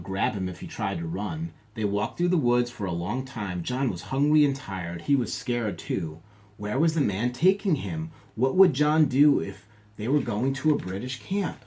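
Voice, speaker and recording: A man reading a story aloud as a teacher, pausing to explain things to his listeners. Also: medium pitch, mellow. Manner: explanatory, pauses to clarify for listeners. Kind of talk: read-aloud storytelling with asides.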